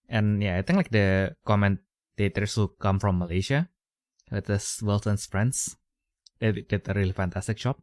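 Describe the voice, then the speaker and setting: Young guy rambling on a podcast, lots of likes and uhs, lowish voice, dry sound. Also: no room sound. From Indonesia. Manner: rambling, many fillers and restarts. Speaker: podcast host. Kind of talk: casual chat.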